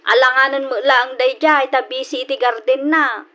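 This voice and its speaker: A woman's voice: high voice, steady tone, moderate pace, pushed and bright, muffled recording. A woman playing a frank, practical cousin in a radio drama, matter-of-fact, with an Ilocano accent. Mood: apologetic.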